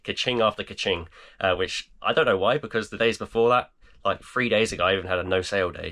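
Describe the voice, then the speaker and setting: A man in his thirties, croaky and tired, chatting casually in a vlog with lots of fillers. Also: lowish voice, sharp tone. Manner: tired, rambling with many fillers. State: croaky, voice almost gone. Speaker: eBay reseller vlogger. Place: home garage.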